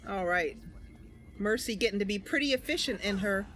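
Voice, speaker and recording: A woman's voice: medium pitch, moderate pace, a bit bright, soft background music. A woman hosting a gaming channel, giving casual, chatty commentary to viewers as she plays. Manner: chatty, talking to viewers while playing.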